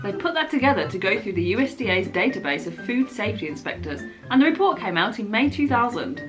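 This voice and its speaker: A woman's voice: medium pitch, very animated, quick pace, music in the background. A playful woman reading short stories aloud in a jokey dramatic style, giggling and repeating lines. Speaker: vlogger.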